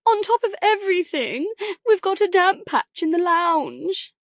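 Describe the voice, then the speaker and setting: A woman's voice: extremely high pitch, expressive, unhurried, no echo, clean studio sound, voice pushed up, heavily acted. A woman voicing a tearful, self-pitying female chateau owner from a TV show in an audiobook. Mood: wailing. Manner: tearful wailing. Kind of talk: audiobook character voice.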